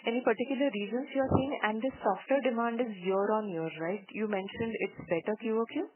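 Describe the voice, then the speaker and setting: A woman's voice: fairly high pitch, a little fast. A woman asking questions as a financial analyst on an earnings call. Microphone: telephone. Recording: tinny phone line with faint noise.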